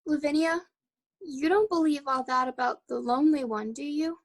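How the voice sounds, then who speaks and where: Woman as a high-voiced, nervous young townswoman. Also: moderate pace. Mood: anxious gossip. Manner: anxious, dramatic, pleading. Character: nervous, fretful young townswoman, easily frightened. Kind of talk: 1940s radio play acting.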